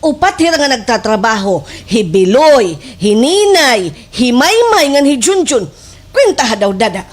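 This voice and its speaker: A woman's voice: on the high side, a little slow. A woman with a Waray accent playing a gossipy village sidekick who eggs on her friend, with exaggerated, emphatic delivery in a slapstick radio drama. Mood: goading. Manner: animated, exaggerated, emphatic storytelling.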